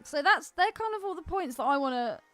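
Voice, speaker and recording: A woman with a fairly high, very lively voice talks as a podcast co-host. She is opinionated and bantering, in casual conversation with some music behind her. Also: fairly quick. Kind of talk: casual film discussion chat.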